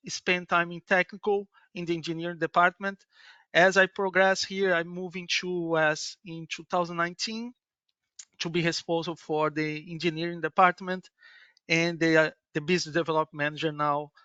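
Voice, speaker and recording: A middle-aged Brazilian man presents a webinar in halting, accented English, restarting phrases and tagging "yes". His voice sits high and sounds bright and clean. The audio is dry and a little muffled. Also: level tone, clean tone, no room sound. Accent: Brazilian Portuguese. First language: Portuguese. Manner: halting non-native English with many restarts and "yes" tags. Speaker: business development manager presenting a webinar.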